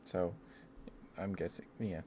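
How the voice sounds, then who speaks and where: A man hosting a casual ASMR livestream, chatting to viewers in a gentle, apologetic, rambling way. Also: sits low, steady tone, takes it slowly. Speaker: livestream host. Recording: clearly noisy background.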